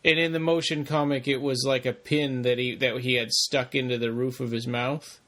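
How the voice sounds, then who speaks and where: A man's voice: medium pitch, little pitch movement, normal speed, fairly bright tone. A man co-hosting a podcast, thinking aloud in a tentative, casual way. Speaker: podcast co-host. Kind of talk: casual discussion.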